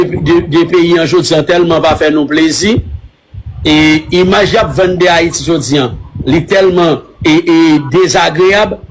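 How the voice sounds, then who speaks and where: A man's voice: on the high side, slight background noise, rough recording. Hesitant man with Haitian Creole accent. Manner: halting, hesitant French with Creole words. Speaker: livestream speaker answering a caller. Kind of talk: live stream conversation.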